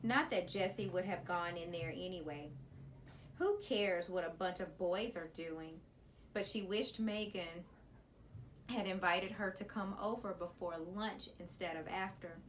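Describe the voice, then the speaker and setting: A woman reading a children's chapter book aloud as a teacher, in an expressive storytelling style. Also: on the low side, unhurried, a little hiss or hum.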